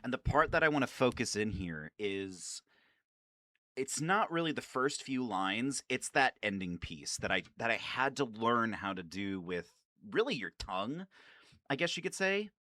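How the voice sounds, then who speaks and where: A man hosting a casual, rambling fan video in an excitable, self-deprecating way. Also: medium pitch, normal speed, no room sound, studio quality. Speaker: amateur voice-acting enthusiast, video host.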